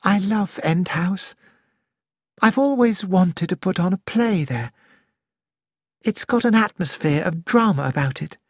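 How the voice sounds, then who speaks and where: Scared young woman, as the reader puts her on, quick shaky lines, very dry close sound. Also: mid-range voice, dry recording, voice pushed up. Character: frightened young woman, brittle brave front.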